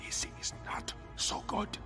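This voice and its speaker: A man playing a wounded, weary but resolute army sergeant in a video game, halting and labored, with a strained, whispery voice. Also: lightly acted. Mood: grave. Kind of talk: dramatic video game cutscene acting. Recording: loud music behind the voice.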